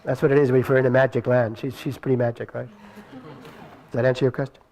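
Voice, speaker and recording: A man presenting an informal question and answer session after a show, speaking in a halting, conversational way. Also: mid-range voice, level tone, dark tone. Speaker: storyteller and show presenter at audience Q&A. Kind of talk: informal spoken Q&A to audience. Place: live venue before an audience. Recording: live room with audience noise.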